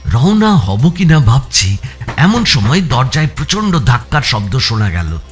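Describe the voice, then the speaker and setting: A man narrating a suspense thriller in a dramatic, expressive audio story style, with music behind him. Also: mid-range voice, measured pace. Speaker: narrator reading a first-person thriller.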